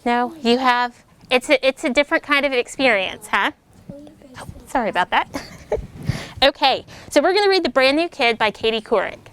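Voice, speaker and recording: Lively American woman reading a storybook aloud. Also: sits high, moderate pace, pauses often, slight background noise. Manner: lively, animated reading with voiced character lines. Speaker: military officer reading to children.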